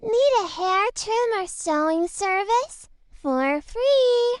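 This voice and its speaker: A woman voicing a crazed, girlish, sweetly sinister doll-like heroine for a video game, in a singsong, drawn-out way. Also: very high voice, animated, no room sound, studio quality, heavily acted. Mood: sweetly eager. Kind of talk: video game character voice line.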